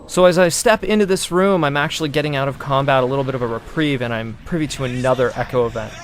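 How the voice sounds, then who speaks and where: A man giving gameplay walkthrough commentary as a producer presenting a demo, in an explanatory, measured manner. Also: mid-range voice. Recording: clear game sound noise behind.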